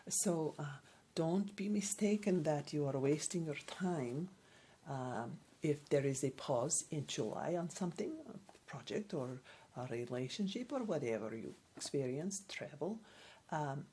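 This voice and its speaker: A woman playing a gentle, unhurried female card reader in a soft-spoken ASMR role play, halting and reassuring as she goes. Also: deep voice, lively intonation, slight background noise. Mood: calm, encouraging. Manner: halting, hesitant, reassuring, short simple phrases.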